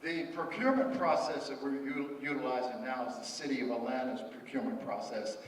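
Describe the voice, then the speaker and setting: A man presenting at a public meeting, speaking with halting restarts and repeated words. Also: mid-range voice. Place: large echoey meeting hall. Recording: echoey hall audio from far off, faint noise.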